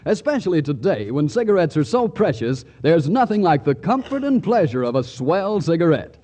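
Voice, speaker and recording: A male announcer from 1940s commercial radio. His highish voice is very animated, delivering folksy jokes and sales copy like a friendly pitchman. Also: moderate pace. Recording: vintage 1940s radio broadcast.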